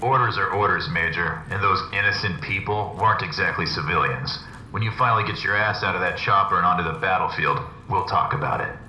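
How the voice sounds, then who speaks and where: An actor playing a gruff, cynical special agent in a video game, speaking in terse, sardonic military reports. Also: male voice, low voice, brisk, pushed and bright. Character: gruff, cynical male special agent, hardened war dog. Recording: echoey room, clear background noise.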